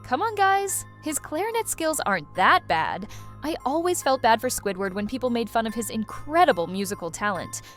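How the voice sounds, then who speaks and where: A woman's voice: a little high, big pitch swings, moderate pace, loud music behind the voice. A woman hosting a video with casual, playful, exaggerated commentary for young viewers. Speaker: host of a reaction video channel.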